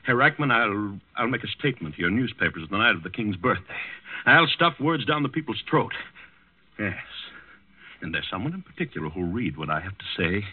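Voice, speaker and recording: Casting: an unravelling, boastful count, fairly low voice, insistent, old radio drama, thin narrow sound. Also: male voice, sing-song, brisk, breathy edge, no room sound. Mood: boastful, rising. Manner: agitated, insistent, repeating himself. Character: nervous, boastful, haunted male count on edge. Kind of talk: 1940s radio acting. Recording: tinny old 1940s radio recording.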